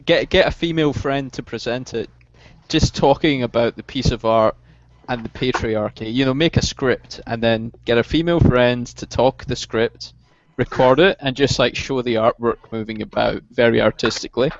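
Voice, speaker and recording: A man in a casual group voice chat who talks in a dry, deadpan, mock-serious way. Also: mid-range voice, normal speed, dry recording. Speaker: chat guest. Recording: lo-fi mic.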